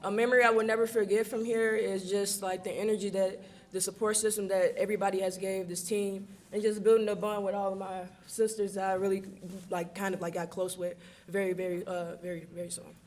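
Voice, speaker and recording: A young American woman makes an informal banquet speech as a college athlete. Her intonation is notably flat and even throughout. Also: medium pitch, brisk. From Chicago, USA. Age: young adult.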